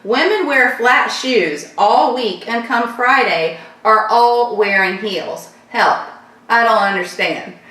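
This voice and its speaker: A woman from Florida with a light Southern accent, hosting a casual viewer Q&A vlog and rambling warmly through her answers. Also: on the high side, slow pace, recorded from a distance. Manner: chatty, repeats thanks, loose rambling sentences. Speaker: channel host answering viewer questions. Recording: echoey room, voice a bit off-mic.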